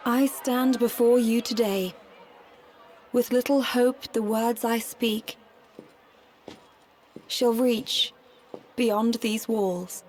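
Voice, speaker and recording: Game role: gentle young female oracle, solemn and earnest, high voice, very unhurried delivery, music prominent. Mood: solemn, sorrowful. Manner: measured, deliberate, earnest, formal diction. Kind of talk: formal ceremonial speech in a video game.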